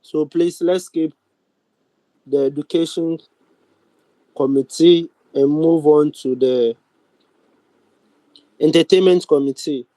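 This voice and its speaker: Young ghanaian guy chairing a meeting, mellow dry voice, slow halting formal delivery. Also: fairly high pitch, fairly even intonation, no room sound, poor audio. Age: young adult. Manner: deliberate, halting, with many pauses. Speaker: speaker of a youth parliament. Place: online video call.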